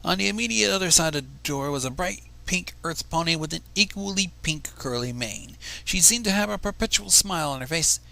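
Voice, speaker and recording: A man's voice: fairly high pitch, animated, a little fast, gravelly, hardly any pauses, bright, forceful tone, low-quality recording. A man reading a story aloud with casual asides, stumbling over words and going back over them. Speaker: fan fiction reader.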